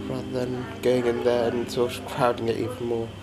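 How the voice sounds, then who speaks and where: A young man casually talking to his viewers in a travel vlog, relaxed and chatty. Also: mid-range voice, fairly quick, background noise. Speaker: travel vlogger. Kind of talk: casual vlog talk to camera. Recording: loud music playing over the voice.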